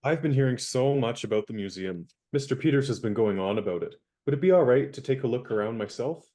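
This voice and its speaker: Young guy playing a fed-up fake valet, crisp and bossy, radio play. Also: medium pitch, expressive, quick pace, warm tone, lightly acted. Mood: friendly. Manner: crisp, lecturing, indignant. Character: indignant young man posing as a valet.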